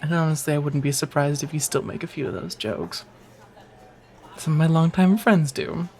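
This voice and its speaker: A woman's voice: low voice, lively intonation, moderate pace. A woman playing a friendly, teasing firefighter on a date in an intimate, casual ASMR romance roleplay, chatty and reassuring. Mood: wry, playful. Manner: chatty, playfully wry, reassuring, sprinkled with soft laughs.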